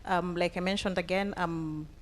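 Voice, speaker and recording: A woman with a Kenyan accent speaking unscripted on a panel as a trainer, halting as she thinks aloud. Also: on the low side, steady tone. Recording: echoey hall with long reverb.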